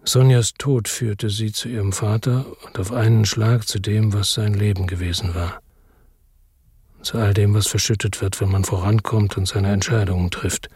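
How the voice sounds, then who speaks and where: An actor playing a brooding, world-weary hero who narrates a crime story in a radio drama, introspective and grave, with a husky voice. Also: male voice, on the low side, fairly even intonation, normal speed, darkish tone, no echo, studio quality.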